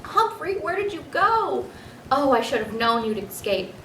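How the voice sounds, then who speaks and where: Storybook father, voiced by a woman: earnest, kindly authority, expressive lines. Distant, roomy mic. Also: high-pitched, unhurried, slight background noise, voice pushed up, heavily acted. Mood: pleading, anxious. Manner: expressive, emphatic storybook dialogue. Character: earnest, pleading father, a school principal, firm but kind.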